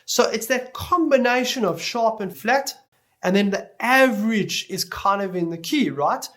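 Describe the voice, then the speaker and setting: A man giving an explanatory tutorial lecture as an instructor, speaking deliberately and stressing key words as he goes. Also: high voice, lively intonation, a bit bright. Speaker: music production instructor.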